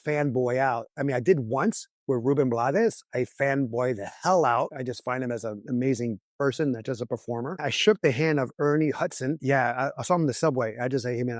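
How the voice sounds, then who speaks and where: A man's voice: mid-range voice, darkish tone, dry recording, studio quality. A man hosting a podcast interview, talking in a loose, rambling way with lots of restarts. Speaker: podcast host. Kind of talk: casual interview chat.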